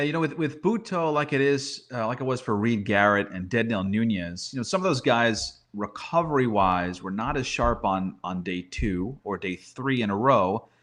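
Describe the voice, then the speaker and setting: A man's voice: fairly low pitch, a bit bright. A man in a casual sports discussion as a baseball analyst, conversational and thinking aloud, often posing questions to himself. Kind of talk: casual sports talk, roster preview.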